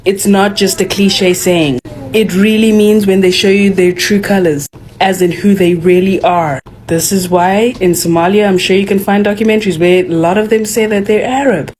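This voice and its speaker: A woman's voice: medium pitch, fairly even intonation, moderate pace, a little mellow. A woman in a video clip earnestly explaining a point to her viewers. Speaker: commentator in a clip. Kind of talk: explaining to camera.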